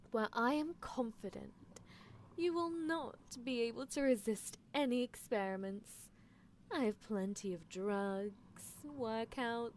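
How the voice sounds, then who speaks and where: A woman acts out a possessive female mad scientist for an ASMR roleplay. She speaks slowly and theatrically at a medium pitch, coaxing and teasing with cool disregard for ethics. A faint noise sits behind the voice. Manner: languid, theatrical, coaxing, with soft chuckles. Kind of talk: live ASMR character roleplay.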